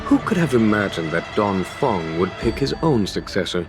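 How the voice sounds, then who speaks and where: Deep-voiced, shrewd, calm general. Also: male voice, over music. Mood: measured, explanatory. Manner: measured, formal, quietly amused. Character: wise, calm, shrewd male general, recuperating. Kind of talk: English game voice acting, measured dialogue.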